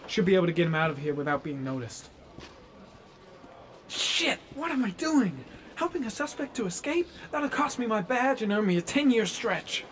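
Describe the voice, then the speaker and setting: A woman playing a determined police officer in a video game, speaking in a hushed, urgent way. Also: mid-range voice, sing-song, normal speed, slight background noise, lightly acted. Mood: hushed resolve, then anxious self-doubt. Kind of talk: video game cinematic acting.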